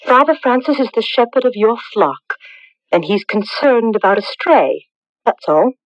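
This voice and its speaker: A woman's voice: on the high side, sing-song, normal speed, dry recording. An actress playing a proud, mysterious young village woman in a radio drama, formal and composed. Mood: composed, cryptic. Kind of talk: 1980s radio drama acting. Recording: dull lo-fi radio broadcast recording.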